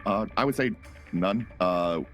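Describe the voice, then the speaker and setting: A man's voice: sits low, measured pace, a bit bright, faint music behind. A man answering questions in a casual, emphatic way. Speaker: former moderator answering questions.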